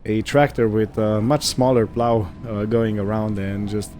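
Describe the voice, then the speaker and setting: A man's voice: fairly low pitch, level tone, a little slow, darkish tone, slight background noise. A man giving casual, musing gameplay commentary, hesitant and thinking aloud as he goes. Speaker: gaming video host.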